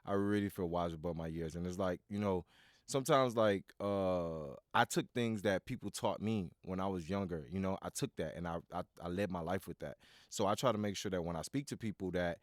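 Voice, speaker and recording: A man's voice: low-pitched, fairly quick, dry recording, studio quality. A man in his thirties from Miami, talking as a podcast guest in a rambling, casual way, full of "you know" and restarts. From Miami, USA. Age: 30s. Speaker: guest, studio owner. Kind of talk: casual interview talk.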